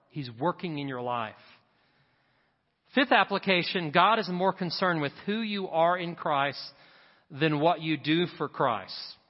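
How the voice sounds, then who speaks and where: Pastor guy preaching in a big echoey church, kinda muffled audio, hits his points twice. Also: a little high. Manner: emphatic preaching, repeats key lines. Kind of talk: sermon. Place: church sanctuary. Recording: reverberant hall, dull sound. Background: church congregation.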